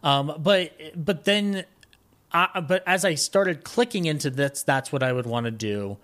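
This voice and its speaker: A man speaking as a podcast guest, reminiscing casually in a rambling, halting way that often circles back mid-sentence. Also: on the high side, expressive, a bit bright. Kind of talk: casual conversational interview, reminiscing.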